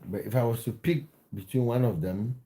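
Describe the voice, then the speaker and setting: A man's voice: medium pitch, moderate pace, dark tone. A man from Cameroon hosting a casual football livestream, chatting with viewers and often restarting his words. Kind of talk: casual live chat with viewers.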